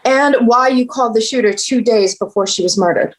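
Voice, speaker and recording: A woman's voice: fairly high pitch, fairly quick, close to an everyday voice, low-quality recording. An actress playing a no-nonsense, commanding female police detective in a script table read. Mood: probing. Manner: terse, direct.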